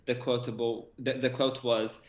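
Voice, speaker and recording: A young man chatting casually as a theatre director in an interview, animated and often repeating words as he looks for phrasing. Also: medium pitch, moderate pace, dull audio. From Portugal. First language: Portuguese. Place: indoor room on a video call. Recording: roomy, echoey video-call sound.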